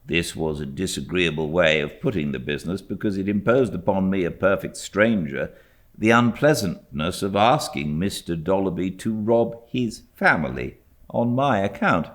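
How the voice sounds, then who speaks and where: A man's voice: low-pitched, lively intonation, moderate pace. A British man with a British accent reading classic literature aloud as an audiobook narrator. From Britain.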